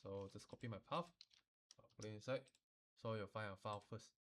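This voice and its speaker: A man with a Malaysian or Singaporean accent gives an informal, step-by-step software tutorial, instructing in colloquial phrasing with sentence-final particles. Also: on the low side, a little fast, a little hiss or hum.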